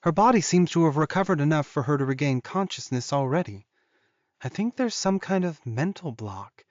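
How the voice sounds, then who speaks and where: A man voicing a caring, maternal female werewolf doctor in her mid-forties, explaining things in a measured audiobook style. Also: a little high, lively intonation, darkish tone, dry recording, highs cut off, voice pushed up, natural voice. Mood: concerned, thoughtful. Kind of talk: audiobook character dialogue.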